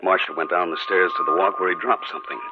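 An actor playing a skeptical, protective husband in 1950s radio drama style. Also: male voice, low-pitched, fairly even intonation, quick pace, noisy background, close to an everyday voice. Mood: grave narration. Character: skeptical husband, protective, narrating an eerie tale. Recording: dull 1950s radio recording with music.

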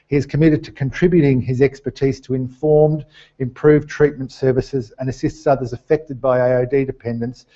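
A middle-aged man speaks haltingly as he presents at a seminar in a small room. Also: mid-range voice, mellow. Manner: hesitant, halting delivery. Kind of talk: semi-formal live presentation. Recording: dull lo-fi seminar webcast sound.

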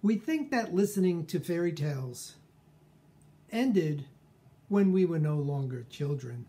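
An older man speaks very slowly in a reflective, scripted monologue. His voice sits a little high for a man and has a soft, mellow tone. He measures out each phrase with pauses, speaking indoors at home to a camera about an arm's length away. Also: animated. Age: 60s or older. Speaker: personal growth speaker. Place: small furnished room at home, indoors.

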